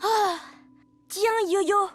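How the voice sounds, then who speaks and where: A worried little boy superhero scolds his friends in a very high, fussy voice. Cartoon music plays clearly underneath. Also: fairly even intonation, very slow, exaggerated voice. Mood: fretful. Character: young boy superhero, bossy and anxious. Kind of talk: French cartoon dub.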